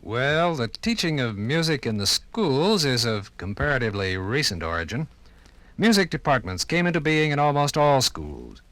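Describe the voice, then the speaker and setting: A man narrating and explaining as a guide in a scripted 1950s radio program, with deliberate phrasing. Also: medium pitch, sing-song, fairly bright tone, no room sound.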